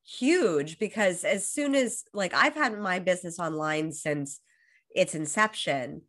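An American woman in her thirties speaks as a guest in an interview, her voice a touch lower than most. She talks fluently and upbeat and often restarts a phrase. She is still getting over a cold. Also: lively intonation, a little slow, fairly bright tone. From Los Angeles, USA. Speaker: guest, high performance coach. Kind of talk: casual interview talk.

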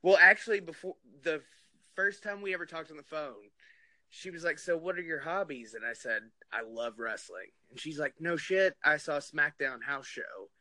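Bright-voiced man from New Jersey. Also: fairly high pitch, moderate pace, no echo. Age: 30s. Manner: loose and profane, laughs often. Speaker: podcast host. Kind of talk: casual chat.

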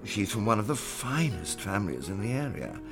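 A man's voice: lowish voice, sing-song, moderate pace, over music. An actor playing a flamboyant male socialite who teases and gushes theatrically. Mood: gushing. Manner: gushing, theatrical, teasing. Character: flamboyant middle-aged male socialite matchmaker.

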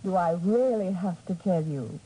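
Mellow, sly young newspaperwoman played by an actress. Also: on the low side, lively intonation, moderate pace, close to an everyday voice. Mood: teasing, confident. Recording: faintly noisy 1950s radio recording.

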